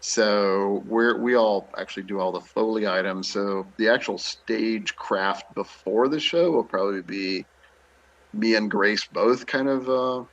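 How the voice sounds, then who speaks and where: Man, low voice, easygoing theatre director-actor giving informal interview answers. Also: a little slow, lo-fi sound. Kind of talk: casual interview talk.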